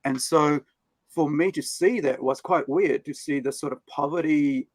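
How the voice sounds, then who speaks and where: A man's voice: a little high, unhurried, dry recording. A man with a New Zealand accent hosting a casual chat, rambling through his points in an anecdotal way. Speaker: livestream show host.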